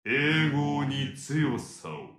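A serpent demon king from a fantasy game, ancient and imperious, speaking very slowly in archaic words. His voice carries a roomy echo. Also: medium pitch, very animated, a bit bright, full character voice. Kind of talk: video game story dialogue.

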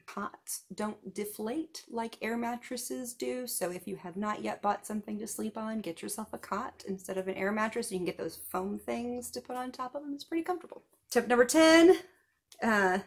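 A woman in her thirties giving numbered tips in a casual chat to camera, seated on a couch at home, with small laughs and asides. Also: medium pitch. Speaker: vlogger giving festival tips.

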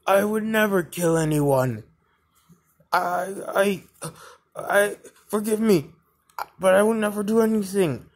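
A man's voice: sits high, takes it slowly, long pauses, full character voice. A man voicing a flustered, defensive Minecraft character who stammers and repeats himself, in an amateur animation fandub. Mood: panicked, pleading. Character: panicky, defensive male Minecraft character pleading innocence. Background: music.

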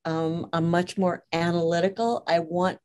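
A woman with a slightly low voice talks through her work in an interview. She chooses her words carefully, qualifying and rewording points as she goes, like someone used to being exact. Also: flat delivery, normal speed, a little mellow. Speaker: interviewed author and lawyer. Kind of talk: conversational interview.

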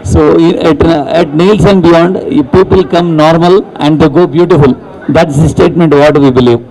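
A man in his forties with an Indian accent, giving an informal, halting promotional speech at a launch. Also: fairly high pitch, fairly even intonation, dark tone, low-quality recording. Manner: halting, switches languages mid-sentence. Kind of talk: informal promotional speech mixing English and Telugu. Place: open atrium of a shopping mall, on stage. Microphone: handheld microphone.

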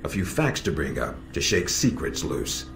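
An actor playing a calm, sardonic middle-aged spy handler in a video game, measured and dryly blunt. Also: male voice, low-pitched, heavily acted. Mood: measured, instructive. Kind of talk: video game cutscene acting. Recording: roomy echo with music behind.

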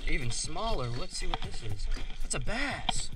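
A young man talks fast and eagerly as he reels in fish outdoors, his voice picked up a little distantly by a body-worn camera. Loud music plays underneath him the whole time. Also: a little high. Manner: excitable, keeps narrating catches, says "y'all" and "dude". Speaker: fishing channel host. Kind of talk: excited casual play-by-play while fishing. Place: wooded creek bank outdoors. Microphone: head- or chest-mounted action camera.

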